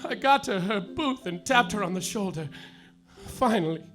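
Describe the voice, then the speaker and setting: An actor playing a bumbling, melodramatic private detective, narrating in a spoofed hardboiled style and bursting into shouted outbursts, on a live theater stage. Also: male voice, sits high, expressive, moderate pace, music in the background. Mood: brooding. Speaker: actor in a live comedy audio play.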